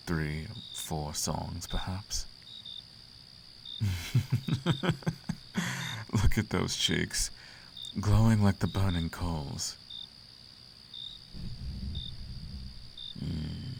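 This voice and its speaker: A man's voice: sits low, background noise, exaggerated voice. A man playing a suave, flirtatious, imperious demon prince in an intimate roleplay monologue, teasing and silky with grand formal phrasing. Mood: seductive, teasing. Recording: clear outdoor cricket ambience behind.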